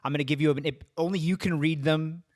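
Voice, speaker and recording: A man's voice: a little high, fairly even intonation, fast, darkish tone, no echo, clean studio sound. A man hosting a podcast in an informal, inquisitive interview style, chatting casually and interjecting. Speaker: podcast host.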